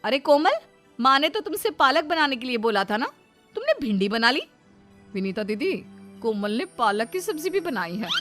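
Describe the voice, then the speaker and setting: A high-pitched actress playing a sarcastic, scolding woman of the house in a cartoon family drama. She chides and taunts her relatives over faint background music. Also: sing-song, normal speed, fairly bright tone. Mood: chiding. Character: bickering, sarcastic daughters-in-law and scolding mother-in-law. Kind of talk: Hindi cartoon family story acting.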